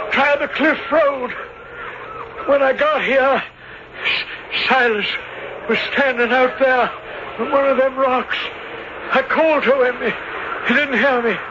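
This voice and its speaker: A man playing an agitated, fretful villager with a halting, anxious delivery in a radio drama. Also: very high-pitched. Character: agitated, fretful high-voiced villager seeking help. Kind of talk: 1970s radio drama acting. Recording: dull, noisy vintage radio broadcast.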